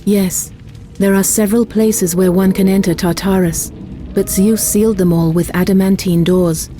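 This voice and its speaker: A woman as a solemn, mellow-voiced goddess. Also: medium pitch, fairly even intonation, close to an everyday voice. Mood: solemn, wise. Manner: measured, portentous storytelling. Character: solemn, wise goddess guiding a mortal hero. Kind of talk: scripted dramatic narration of myth. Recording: music behind the voice.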